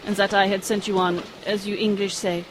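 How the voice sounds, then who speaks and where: A woman playing a cunning German spy, speaking in a formal, measured way. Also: mid-range voice, flat delivery, background noise, close to an everyday voice. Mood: composed.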